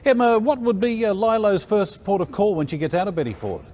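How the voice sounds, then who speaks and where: A man's voice: sits high, sing-song, brisk, a little mellow. A middle-aged Australian man presenting television news as a studio anchor.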